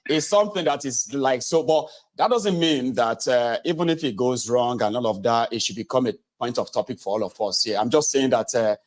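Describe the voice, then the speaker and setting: A man's voice: medium pitch, brisk, hardly any pauses. A man with a Nigerian accent hosting a casual live political phone-in, speaking emphatically and repeating his phrases. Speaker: host of a political call-in show.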